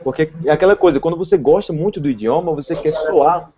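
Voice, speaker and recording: A man's voice: fairly high pitch, fast talker. A Brazilian man with a Northeastern accent, hosting an interview in a chatty, encouraging way. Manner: chatty, encouraging, strings thoughts together. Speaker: host and language teacher. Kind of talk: casual interview chat. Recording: dull lo-fi sound with crowd noise behind.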